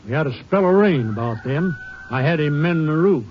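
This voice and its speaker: Actor as folksy, wary rancher. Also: male voice, fairly high pitch, expressive, moderate pace, close to an everyday voice. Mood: folksy, unsure. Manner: hesitant, colloquial. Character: folksy, wary male Texas ranch owner. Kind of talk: 1950s western radio drama acting. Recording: muffled, noisy vintage radio recording.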